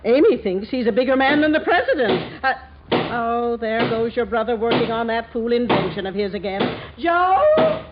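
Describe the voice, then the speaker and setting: Dull, noisy old radio recording of a scornful mother in a 1940s comedy. Her voice sits high and swings up and down as she grumbles and exclaims in folksy, ungrammatical speech. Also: very animated, normal speed. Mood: exasperated. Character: grumbling, scornful Brooklyn mother of grown children.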